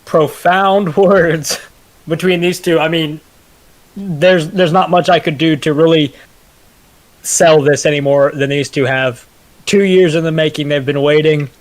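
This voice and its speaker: A man with a fairly high voice hosts a wrestling promo in a brash, showy manner. He boasts as a tag team champion and plays to the crowd. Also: fairly even intonation, unhurried, poor audio. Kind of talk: hyped wrestling promo talk.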